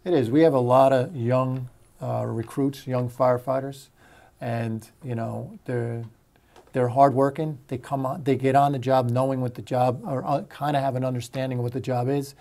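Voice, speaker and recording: A middle-aged American man answers interview questions as a fire chief. His voice is relaxed and soft-edged, with plenty of gravelly fry. He talks haltingly, often circling back over his phrases. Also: mid-range voice. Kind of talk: sit-down interview conversation.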